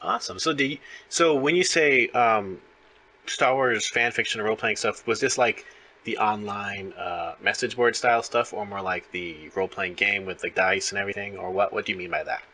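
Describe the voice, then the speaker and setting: A man's voice: lowish voice, moderate pace, pushed and bright, slight background noise. A man hosting a podcast interview, chatting casually in a friendly, enthusiastic, somewhat rambling way.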